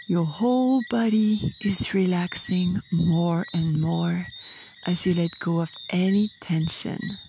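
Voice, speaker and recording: A woman leading a guided meditation in a soft, breathy, soothing voice, with short phrases and long pauses. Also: fairly low pitch, background noise. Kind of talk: slow guided meditation narration.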